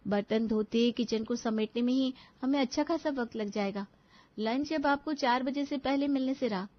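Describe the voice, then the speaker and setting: Woman as a high, protesting young daughter-in-law. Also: moderate pace, lightly acted. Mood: complaining. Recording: dull lo-fi audio.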